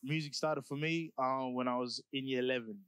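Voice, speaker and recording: A man's voice: medium pitch, steady tone, a little fast, a bit bright, smooth, dry recording, studio quality. A young man from South Sudan, talking about his music in a laid-back, slangy way, full of "like" and "you know". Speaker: rapper guest. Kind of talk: casual interview.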